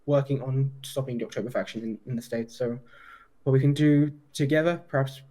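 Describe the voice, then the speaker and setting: A man improvising a formal police detective's interview in a roleplay, measured, with stumbles and self-corrections. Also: mid-range voice, fairly even intonation, warm tone.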